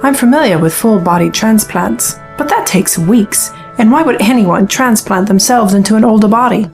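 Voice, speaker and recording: An actress playing a wary young news reporter in an audio drama, brisk and incredulous with formal phrasing. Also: female voice, mid-range voice, faint music behind, close to an everyday voice. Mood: sceptical.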